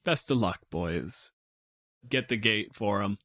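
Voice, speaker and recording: Man playing a grave, hushed dwarf banker, low-pitched. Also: animated, slow pace, dry recording, clean studio sound, heavily acted. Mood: curt, uneasy. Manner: hushed, grave, plain-spoken. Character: anxious dwarf bank boss, grave and businesslike.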